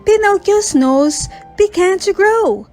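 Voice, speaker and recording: Slow, high-pitched woman reading a bedtime story. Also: lively intonation, darkish tone, faint music behind.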